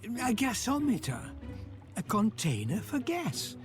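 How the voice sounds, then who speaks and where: A man's voice: extremely high pitch, huge pitch swings, unhurried, over music, heavily acted. An actor voicing a fussy older male inventor who explains things patiently with a touch of condescension. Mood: explaining. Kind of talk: game voice acting.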